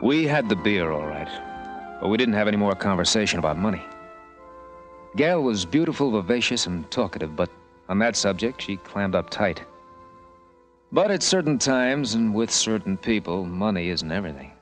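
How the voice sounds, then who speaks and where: An actor playing a brash, wry American helicopter pilot in a hard-boiled old-time radio drama. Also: male voice, fairly low pitch, animated, moderate pace, close to an everyday voice. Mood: wry narrating. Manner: wry, laconic, wisecracking. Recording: vintage radio recording, music behind.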